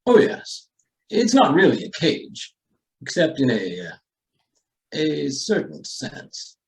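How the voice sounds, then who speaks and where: An actor playing an aloof, genteel and coolly ruthless estate owner in a radio drama, with formal, hesitant delivery. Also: male voice, on the high side, huge pitch swings, creaky, a little mellow, heavily acted. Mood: coolly genial. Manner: pompous, formal, hesitant pauses. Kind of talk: old-time radio drama acting.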